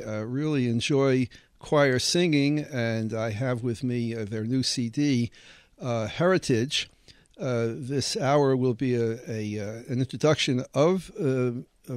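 A male radio host who asks his questions haltingly, his speech full of filler sounds. He is recorded dry and close, with no room echo. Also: mid-range voice, darkish tone, smooth. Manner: hesitant, many fillers. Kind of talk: radio interview.

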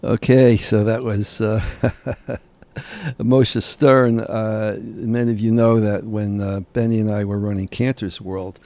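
A man in his late seventies hosting a radio interview, courteous and hesitant, full of pauses and fillers. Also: fairly low pitch, flat delivery, warm tone, no room sound. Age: late 70s. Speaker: radio show host.

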